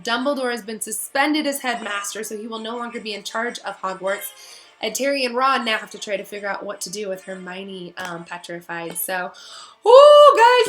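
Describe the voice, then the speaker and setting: Chatty teacher reading aloud, bright voice. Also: female voice, on the high side. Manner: chatty and enthusiastic. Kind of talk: reading a novel aloud to students. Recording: echoey room.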